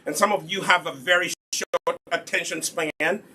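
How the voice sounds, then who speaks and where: A man's voice: a little high, fairly even intonation, normal speed, bright, forceful tone, a little hiss or hum. A man from Uganda with a Ugandan accent, hosting a casual live hair care demonstration in an instructive way, repeating key points. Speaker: host, natural hair product seller and demonstrator.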